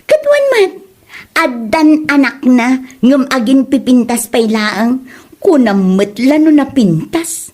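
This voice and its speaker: Woman doing a nosy gossip lady, high and snippy. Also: big pitch swings, slow pace, a little mellow, heavily acted. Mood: snide. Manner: snide and gossiping. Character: catty gossiping woman neighbor. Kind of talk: comedic audio drama acting.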